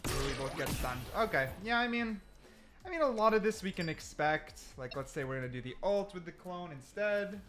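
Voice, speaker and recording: Male gaming creator, animated, slightly high voice, casual think-aloud delivery with emphatic repetition. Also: normal speed, faint music behind. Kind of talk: casual gameplay commentary. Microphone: desk mic, sometimes turns away from it.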